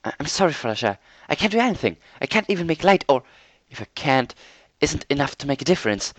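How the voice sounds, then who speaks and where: A man's voice: fairly high pitch, very animated, fast talker, fairly bright tone, no echo, voice pushed up. A man doing a strained, desperate unicorn mare. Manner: raised, strained calling.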